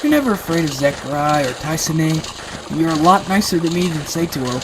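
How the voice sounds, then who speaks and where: A woman playing a meek, friendly little male creature with a gentle, earnest way of talking, in a fan audio drama. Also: low voice, animated, moderate pace. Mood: meek, grateful. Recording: clear background noise.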